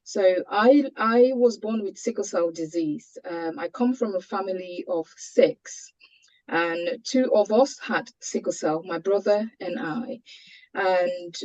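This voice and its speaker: A woman with a Cameroonian accent speaks in a soft-edged, mellow tone at a middle pitch. She presents personal experience to a webinar in an earnest, measured way. The audio is slightly muffled, like an online call. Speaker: panelist sharing a patient's experience.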